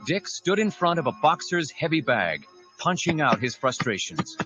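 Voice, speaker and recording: A man's voice: a little high, very animated, moderate pace, sharp tone. A man narrating an audio drama in a dramatic scripted style. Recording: dull lo-fi audio drama, music behind.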